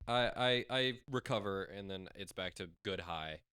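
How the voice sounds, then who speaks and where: A young man telling a rambling, halting story in a casual podcast. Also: low voice, pushed and bright, clean tone, dry recording, studio quality. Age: young adult. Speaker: podcast co-host.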